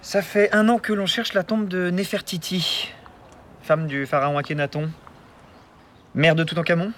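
A man's voice: high-pitched, flat delivery, moderate pace, fairly bright tone, slight background noise. An actor playing an earnest, polite and knowledgeable young male archaeology assistant in an audio drama. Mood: explanatory.

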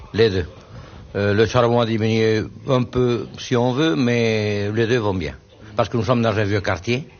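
A man's voice: on the low side, muffled recording. A man who sells coal and fuel oil, answering questions plainly in an old archive interview.

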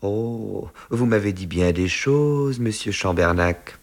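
A man's voice: lowish voice, animated, slow. A man voicing an evasive, touchy secretary, defensive and easily indignant, hesitant when cornered.

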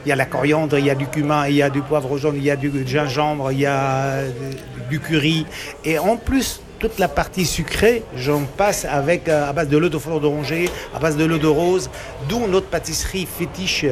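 A man talks up his restaurant's food in a street interview. His voice sits fairly high, and he pauses on fillers as he lists dishes. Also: steady tone, over music. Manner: warm promotional, hesitant fillers. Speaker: restaurant worker.

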